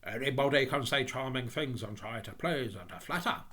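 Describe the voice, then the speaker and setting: A man's voice: mid-range voice, a little fast, bright, forceful tone, natural voice. A man voicing a pompous, self-important miller in a storybook tale, preachy and emphatic as he poses as a generous friend. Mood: preachy, smug. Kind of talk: theatrical storybook character voice.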